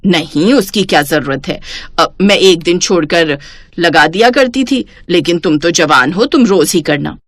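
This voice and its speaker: A woman's voice: lowish voice, expressive, moderate pace, dry recording, voice pushed down. A woman voicing a lazy middle-aged mother-in-law in a cartoon story, bossy and full of grumbling excuses. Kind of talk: Hindi cartoon moral story acting.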